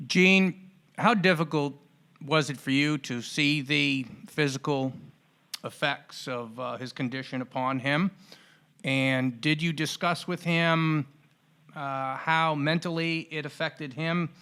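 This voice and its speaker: A man hosting a podcast interview, asking questions in measured, deliberate, formally worded phrases. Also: a little high, steady tone, pushed and bright, clear, resonant tone. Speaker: podcast host interviewing guests.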